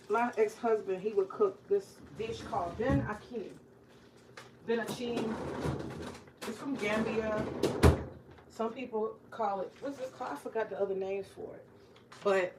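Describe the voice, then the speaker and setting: A woman's voice: on the high side, poor audio. Woman in her forties, frank and joking, curses casually, home kitchen, faint background noise. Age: 40s. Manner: candid, joking, swears freely, talks to viewers. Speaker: retired teacher cooking on her channel. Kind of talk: casual cooking vlog chat.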